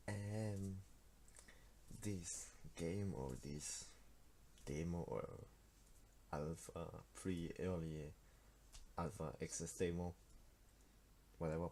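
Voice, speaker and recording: A man's voice: low-pitched, a little hiss or hum, rough recording. A teenage man from Switzerland with a Swiss German accent, a native Swiss German speaker, casually talking through his card game in a devlog, hesitant, with many fillers and restarts. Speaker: game developer. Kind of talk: casual screen-recorded devlog.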